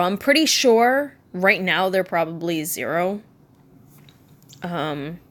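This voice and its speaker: Vlogger, woman, mid-thirties, slow and hesitant, soft-spoken, lots of pauses and fillers. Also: medium pitch. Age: 30s. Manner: slow, halting, subdued and candid, many ums and restarts. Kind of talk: personal vlog talking to camera.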